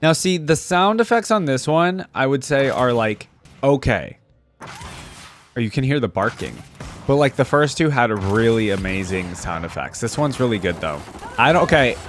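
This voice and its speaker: A man's voice: medium pitch, animated, normal speed, soft background music. A man giving casual, enthusiastic reaction commentary as a gaming video host, full of exclamations and slangy phrasing.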